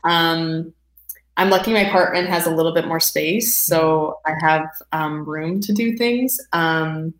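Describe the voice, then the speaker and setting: A young Canadian woman with a slightly low voice talks casually as an interview guest. Her recording is roomy and echoing, as if made at home. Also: measured pace. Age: young adult. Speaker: guest, performing artist. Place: indoors at home.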